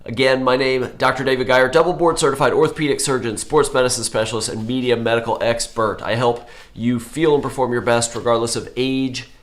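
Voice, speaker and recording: A man in his forties, an orthopedic surgeon hosting a live health show, with a voice going hoarse from a cold, rambling and correcting himself with many fillers. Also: medium pitch, fairly even intonation, brisk, few pauses. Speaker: host.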